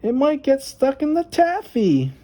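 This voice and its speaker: A dramatic little boy from a storybook, voiced by a woman reader. He talks in a raised, whiny, exclamatory voice with big swings in pitch, boastful and easily worked up. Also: huge pitch swings, measured pace, dark tone, full character voice. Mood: defensive. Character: boastful, dramatic young boy who acts fearless.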